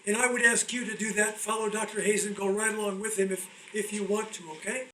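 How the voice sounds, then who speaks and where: A man's voice: high-pitched, steady tone, quick pace, a bit bright, recorded from a distance, slight background noise, poor audio. A man hosting and teaching on self-help, speaking earnestly and repeating phrases for emphasis. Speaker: host, therapist teaching a class and interviewing.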